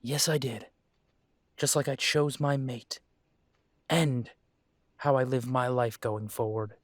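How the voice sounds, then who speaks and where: A man's voice: mid-range voice, fairly even intonation, takes it slowly, no room sound. A man plays a young werewolf son in an audio drama, standing his ground with a firm, defensive edge. His voice sits mid-range with a plain tone, recorded dry and close. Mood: defiant. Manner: defensive and firm, then quiet and conciliatory. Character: young adult male werewolf, defiant estranged son.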